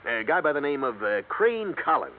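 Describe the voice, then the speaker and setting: An actor playing a wry, chatty insurance agent in a 1950s radio drama. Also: male voice, mid-range voice, sing-song, moderate pace, close to an everyday voice. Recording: tinny lo-fi 1950s radio broadcast.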